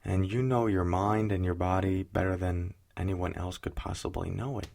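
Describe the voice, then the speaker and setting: A man with a notably deep voice leads a guided meditation. His delivery is soothing and unhurried, with gentle pauses, and a pronounced vocal fry runs through his speech. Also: flat delivery.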